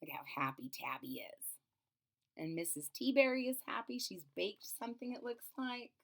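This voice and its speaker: A woman's voice: on the high side, sing-song, moderate pace, vocal fry. A woman reading a children's storybook aloud expressively, drawing out phrases and adding explanatory asides about the pictures. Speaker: storybook reader and channel host.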